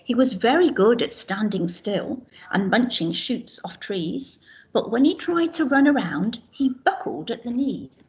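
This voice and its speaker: Expressive woman teacher reading aloud. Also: on the high side, measured pace, highs cut off. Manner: expressive rhyming storytelling, pointing out pictures. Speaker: infant school teacher. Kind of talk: reading a picture book aloud to children.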